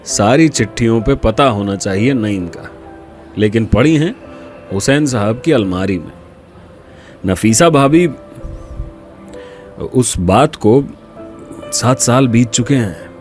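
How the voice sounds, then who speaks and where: A man's voice: mid-range voice, lively intonation, slow, pauses often, a little mellow, soft background music. A man acting a middle-aged college dean in a Hindi audio drama, a frank old friend talking informally and earnestly. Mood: concerned, earnest.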